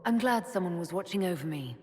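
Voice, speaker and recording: A woman playing a composed, direct young elven mage heroine in a video game, calm and soft-spoken. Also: on the low side, brisk, husky. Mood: curious. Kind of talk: video game cutscene dialogue.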